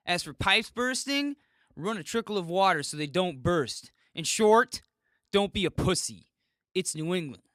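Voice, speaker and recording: Guy doing a sarcastic scolding comment-thread arguer, high forceful voice, exaggerated comedy skit. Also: no room sound, studio quality, heavily acted. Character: argumentative commenters squabbling on social media, comic skit. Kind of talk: comic dramatized reading of online comments.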